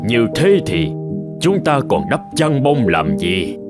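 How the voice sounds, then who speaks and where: A man voicing an elderly, wise Buddhist monk in a cartoon folk tale, patient and measured as he teaches. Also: medium pitch, very animated, loud music behind the voice. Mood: calm, instructive. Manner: measured, gently instructive. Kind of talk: animated folk tale dialogue.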